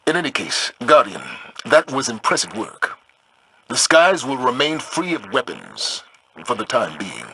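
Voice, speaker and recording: An actor playing a grave, steadfast military commander in a video game, speaking in a solemn, measured, authoritative way. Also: male voice, mid-range voice, sing-song, gravelly, bright, forceful tone, a little hiss or hum, full character voice, rough recording. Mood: approving, measured. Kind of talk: scripted video game voice acting.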